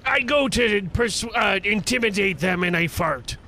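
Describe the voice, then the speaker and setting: A man's voice: high voice, lively intonation, moderate pace, sharp tone, slight background noise. A man playing a tabletop game, bantering and joking casually with friends.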